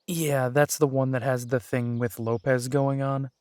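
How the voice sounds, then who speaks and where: A man playing a gentle, dry-humored werewolf private eye and younger brother in an immersive roleplay audio drama, speaking softly and wryly. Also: medium pitch, level tone, measured pace, no echo, lightly acted. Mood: thoughtful.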